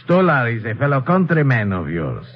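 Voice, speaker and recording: An actor playing a smug, politely menacing foreign agent in a radio adventure, speaking formally and with condescension. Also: male voice, medium pitch, huge pitch swings, normal speed. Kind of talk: old-time radio adventure acting. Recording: muffled, hissy old radio recording.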